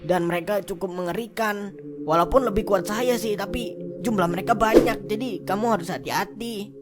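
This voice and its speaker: A man with a slightly high voice voicing a young cartoon ghost guard, slangy and stammering, a swaggerer who is easily cowed. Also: normal speed, close to an everyday voice. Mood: cowed, nervous. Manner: slangy, stammering, repeating words. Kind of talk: comic amateur cartoon voice acting. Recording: loud music under the voice. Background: loud music.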